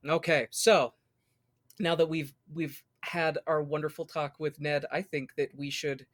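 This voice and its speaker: A man's voice: fairly high pitch, flat delivery, measured pace, a bit bright, no echo. An American man co-hosting a podcast, chatting casually with a wry, jokey manner. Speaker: podcast co-host.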